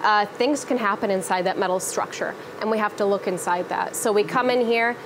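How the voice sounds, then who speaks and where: Woman explaining metals over loud machine noise. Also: medium pitch, a little fast. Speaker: materials engineer. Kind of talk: explanatory tour talk. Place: large industrial lab indoors.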